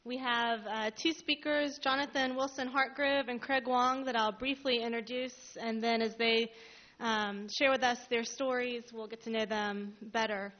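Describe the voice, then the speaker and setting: A woman moderating a panel, introducing speakers with semi-formal prepared remarks and hesitant pauses. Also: fairly high pitch, fairly even intonation. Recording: dull, band-limited live event recording.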